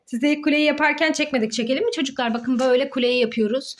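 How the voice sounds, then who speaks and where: A woman's voice: high voice, steady tone, fast talker. A woman, a mother playfully narrating a children's game in an encouraging, affectionate manner as she talks to her child and young viewers. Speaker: mother hosting a children's play video.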